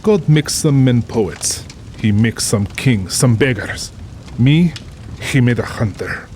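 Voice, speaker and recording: A man's voice: mid-range voice, lively intonation, slow, darkish tone, slight background noise. A man playing a cultured, proud general and big-game hunter in an audio drama, speaking formally and boastfully with polished self-assurance. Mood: grandiose, reminiscing. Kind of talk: full-cast audio drama acting.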